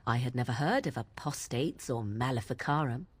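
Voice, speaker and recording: A woman playing an aloof, sardonic young witch of the wilds in a video game, with husky, archaic, measured phrasing. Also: a bass voice. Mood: cool, reflective. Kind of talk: video game dialogue acting.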